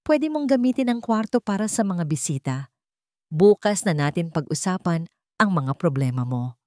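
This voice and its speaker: A woman with a Filipino accent voicing a guarded young man, a farmer and secret executive, calm and direct, in an audio drama. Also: lowish voice, moderate pace, no room sound, clean studio sound. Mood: concerned, guarded.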